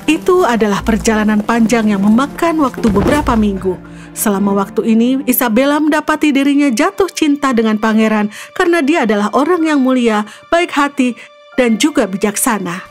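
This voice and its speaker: A woman's voice: a little high, expressive, brisk. A woman storyteller giving a scripted narration of a fairy tale. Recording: music behind the voice. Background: background music.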